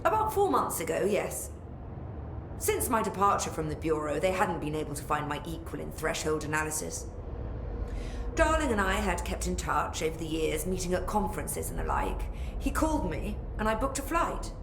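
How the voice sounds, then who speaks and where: Game role: brusque female academic, precise and superior, very animated intonation, roomy noisy sound. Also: on the low side, moderate pace. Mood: smug, reflective. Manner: precise, pompous, dryly exasperated. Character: haughty, brusque, fastidious female academic scientist. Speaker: scientist. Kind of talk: video game dialogue acting. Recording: roomy echo with background noise.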